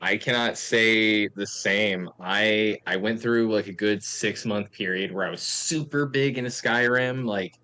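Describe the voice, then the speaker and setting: A man's voice: on the low side, a little slow, hardly any pauses, sharp tone. A man in his thirties chatting casually, with a joking manner and wry exaggeration. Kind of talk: casual chat.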